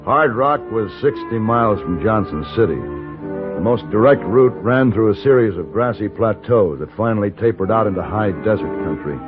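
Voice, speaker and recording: A hard-bitten Old West gunman played by a man, with a low, gruff voice and a terse, impatient way of talking. It is old-time radio western acting on a muffled vintage recording, with music around the voice. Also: animated, moderate pace, lightly acted. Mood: measured narration.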